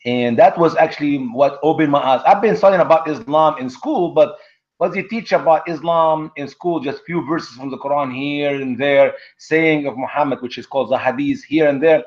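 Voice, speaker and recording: A man from Egypt, a guest on an online talk show, telling stories in an animated, conversational way. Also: on the high side, normal speed, highs cut off. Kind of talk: conversational storytelling.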